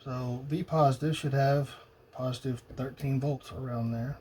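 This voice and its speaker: A man thinking aloud in a halting, casual way as he tests electronics at a workbench. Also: mid-range voice, fairly even intonation, a little mellow. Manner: muttering, halting, pondering to himself. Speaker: hobbyist demonstrating electronics testing. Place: indoor cluttered electronics workbench. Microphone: old phone used as camera above bench. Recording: noisy phone-camera workshop recording.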